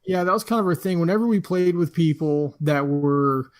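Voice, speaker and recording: A man's voice: fairly high pitch, moderate pace, no echo. Easygoing man telling a story. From Florida. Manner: relaxed storyteller, laughs easily, many fillers. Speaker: podcast host. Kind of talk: casual podcast chat.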